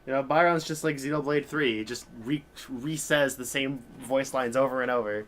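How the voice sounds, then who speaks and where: A man livestreaming a game, chatting casually in an informal, halting, rambling way. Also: medium pitch, fairly bright tone, slight background noise. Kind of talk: casual chat while gaming. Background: game music.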